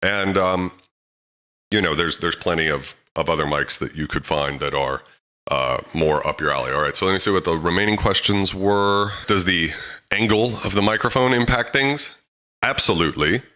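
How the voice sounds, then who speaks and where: A man with a very low, deep voice and a crisp, bright edge talks through microphone tips in a loose, chatty way, with fillers like "um" and "you know". He speaks close to a handheld mic in a home studio. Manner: relaxed, chatty, frequent fillers. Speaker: audio tutorial host. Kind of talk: casual how-to explainer.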